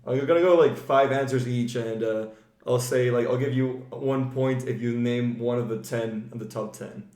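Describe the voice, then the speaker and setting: A man's voice: medium pitch, steady tone, moderate pace, a little mellow. A man with a mid-range voice talks as a podcast host in a roomy, echoing space. His delivery is casual and conversational, informal and loosely phrased. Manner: informal, peppers talk with "like". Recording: roomy, echoing room sound.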